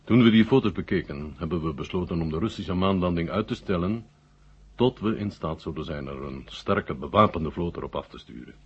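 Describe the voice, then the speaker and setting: Senior Soviet marshal: very low, husky, urbane, slyly frank; vintage radio drama, muffled sound. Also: male voice, expressive, a little fast, full character voice. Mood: dryly frank. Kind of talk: 1970 Dutch radio drama acting. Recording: muffled old radio recording.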